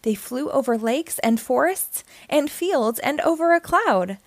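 A woman hosting storytime, reading a children's picture book aloud in an expressive, deliberate storytelling manner. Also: sits high, dry recording, studio quality. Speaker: storytime host and reader.

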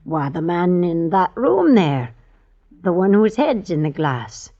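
A muffled vintage radio recording of a simple country neighbour woman. Her low voice moves slowly through quaint rustic phrases, swinging widely in pitch as she puzzles and pities. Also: very animated, dark tone, full character voice. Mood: bewildered, pitying. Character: simple, confused, pitying village neighbour woman. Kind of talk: old radio drama acting.

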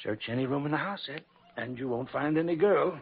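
An actor playing an indignant, slippery innkeeper in an old-time radio drama, stiff and affronted, with a husky voice. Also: male voice, fairly high pitch, brisk. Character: indignant, slippery male holiday-house owner. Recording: muffled old radio recording.

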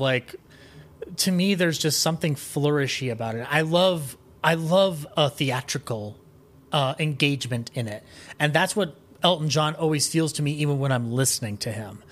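A man's voice: a little high, expressive, moderate pace. A man chatting casually as a podcast guest, rambling enthusiastically and voicing his own thoughts aloud. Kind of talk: casual chat.

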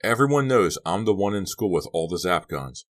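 A man voicing a hot-headed, panicky teenage boy in an audiobook, protesting loudly and heatedly. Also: deep voice, lively intonation, a little fast. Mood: heated, defiant.

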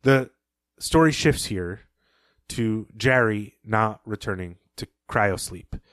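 A man co-hosting a podcast, retelling the plot of a story plainly with quick asides. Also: on the low side, fairly bright tone, smooth, no room sound, clean studio sound. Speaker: podcast co-host. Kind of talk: recapping a story.

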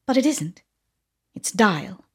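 An actress playing a frightened but witty young widow in a radio drama, with wry, quick retorts. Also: female voice, mid-range voice, sing-song, darkish tone, husky, full character voice. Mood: anxious, upset.

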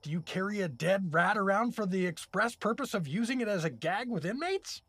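A man's voice: sits high, lively intonation, brisk, a bit bright, no room sound, heavily acted. An actor playing an indignant, sarcastic everyman from another world who is imprisoned in a comedic fantasy audio drama. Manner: exasperated, complaining, wisecracking.

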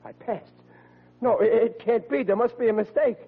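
An actor playing a bumbling, excitable young rookie cop in 1940s radio comedy style, stammering in ungrammatical everyman talk. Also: very high-pitched, normal speed, creaky, slightly rough, full character voice. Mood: flustered. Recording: tinny old radio recording.

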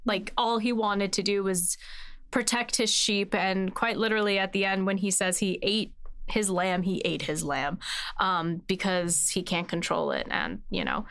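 Clear-voiced woman chatting casually. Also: medium pitch, steady tone, measured pace, a bit bright, dry recording, clean studio sound. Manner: chatty, informal, says "like" and "you know" often. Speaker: host, series writer. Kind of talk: casual behind-the-scenes chat.

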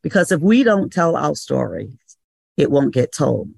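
An older woman from North Carolina, a radio station owner, speaks with a gravelly, slightly rough edge. Her words come slow and considered, broken by frequent pauses. The sound is close and dry, with no room around it. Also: sits low, big pitch swings, a little mellow, dry recording. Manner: measured, advising, many uh pauses. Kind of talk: panel discussion. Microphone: video call.

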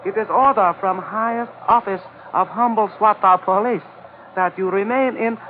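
An actor playing a flattering Chinese police officer in a 1940s radio drama, speaking ceremonious, broken English with a Chinese accent. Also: male voice, high voice, normal speed, natural voice. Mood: smooth flattery. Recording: noisy, narrow-band old radio recording.

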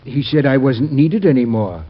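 An actor playing a loyal, polite American presidential aide in old radio drama style, meek and earnest. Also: male voice, medium pitch, expressive, normal speed, husky. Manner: deferential, earnest. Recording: dull, band-limited old radio recording.

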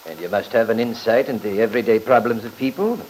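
An actor playing a shrewd, courtly lawyer who questions in a measured, formal, probing way, in old-time radio drama style. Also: male voice, fairly low pitch, a little mellow, natural voice. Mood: probing, deliberate. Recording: noisy vintage radio recording.

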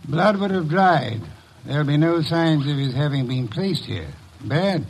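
An actor playing an imperious, dry older master detective in an old radio drama, on a noisy vintage recording. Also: male voice, on the high side, lively intonation, measured pace, husky, full character voice. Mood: dry, stern. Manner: commanding, dry, clipped.

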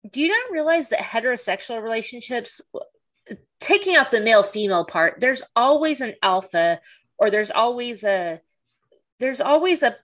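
Middle-aged woman podcast host, casual and chatty, mid-pitched. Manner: chatty, many restarts and "you know". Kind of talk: casual chat.

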